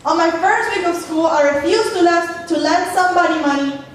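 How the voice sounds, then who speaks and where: A woman with a Spanish accent performing spoken word poetry, reciting earnestly and measuredly with pauses. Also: very high-pitched, distant mic. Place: echoey poetry venue, performing seated. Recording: echoey room, voice from afar, faint hum.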